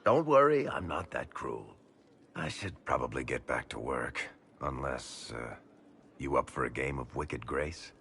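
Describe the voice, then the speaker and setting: A low, gravelly wry businessman from a fantasy game. He talks casually and haltingly, with lively swings in pitch, over faint background noise. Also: full character voice. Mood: teasing. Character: wry, plain-spoken businessman, a loyal male friend. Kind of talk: video game dialogue acting.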